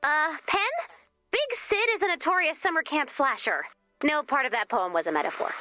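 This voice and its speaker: High, lively, quippy game heroine. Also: female voice, moderate pace, dry recording, faint music behind. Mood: wary, exasperated. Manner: chatty, sarcastic, expressive. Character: young, perky, quippy female camp leader, wryly exasperated. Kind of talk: video game character voice lines.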